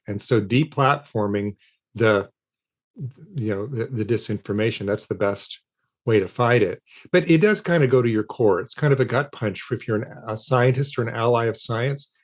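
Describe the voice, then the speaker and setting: Man explaining as an interview guest. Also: mid-range voice. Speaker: interview guest, philosopher of science and author.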